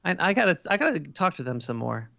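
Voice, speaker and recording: Creaky, gravelly paranoid cartoon rat acted out by a performer, slangy, offhand, comedy podcast, dry muffled lo-fi recording. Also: mid-range voice, sing-song, fast talker, pushed and bright, dry recording, exaggerated voice. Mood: casual, scheming. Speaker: podcast guest. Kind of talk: improvised in-character comedy chat.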